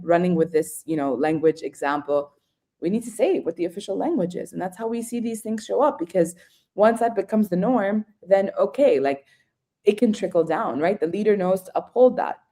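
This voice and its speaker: A woman's voice: on the low side, mellow. A woman explaining ideas on a webinar, often checking in with listeners. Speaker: webinar guest.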